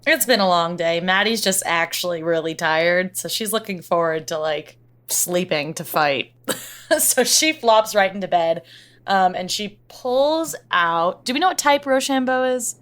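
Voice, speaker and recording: Female tabletop player, very animated melody and bright edge, casual in-character banter and description. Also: lowish voice, a little slow. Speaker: player in a roleplay podcast. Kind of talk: animated casual roleplay chat.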